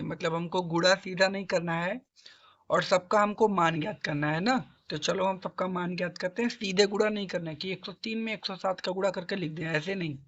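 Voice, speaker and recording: Hoarse guy tutoring math, kinda high voice, dull lo-fi sound. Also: a bit bright. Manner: coaxing, asks and answers own questions, clears throat. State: hoarse, sore throat. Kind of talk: classroom-style math lesson.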